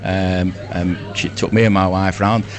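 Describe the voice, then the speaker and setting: Low-voiced, chatty older Lancashire man. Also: flat delivery, measured pace, crowd noise. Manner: chatty, effusive, repeats himself. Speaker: interview guest, amateur pantomime performer. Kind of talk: casual live radio interview.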